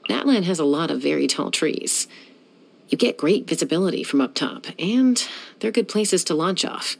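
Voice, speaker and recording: A woman's voice: lowish voice, animated, moderate pace, creaky, husky, heavily acted. A young woman voicing a self-assured, dryly teasing gunslinger who flies and settles disputes, in video game voice lines. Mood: cool. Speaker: game character.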